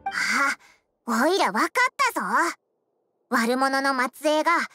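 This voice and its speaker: A woman voicing a tiny, excitable childlike fairy companion in a video game, squeaky, chirpy and blunt. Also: a little slow. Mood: excited. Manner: blunt, chirpy, boyish wording. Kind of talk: cartoonish video game dialogue.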